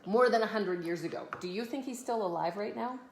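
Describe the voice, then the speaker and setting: A woman reads a children's biography aloud to her kids, breaking into casual asides. Her pitch is average, and the room is echoey with faint noise behind her. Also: a little fast. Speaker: mother reading a book aloud to her children.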